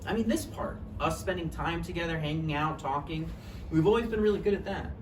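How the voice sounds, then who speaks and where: In a roomy recording with clear noise, a man plays an awkward young ex-boyfriend in a stage drama. He sounds natural and conversational, insecure and a bit petty, set back from the microphone. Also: a little high, brisk, natural voice. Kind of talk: naturalistic stage play table read. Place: live table read in a room. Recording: distant mic, echoey room, noisy.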